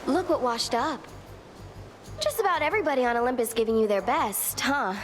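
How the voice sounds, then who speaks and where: Sneering game goddess of chaos, highish voice, game music under it. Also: female voice, animated, fairly quick. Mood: taunting. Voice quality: taunting, sly. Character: mocking chaotic goddess of strife, female. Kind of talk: video game character dialogue.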